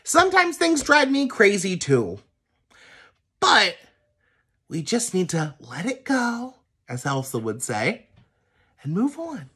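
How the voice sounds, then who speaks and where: A man reading a children's story aloud in an expressive, emphatic teacher's manner. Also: high-pitched, takes it slowly, pauses often, husky.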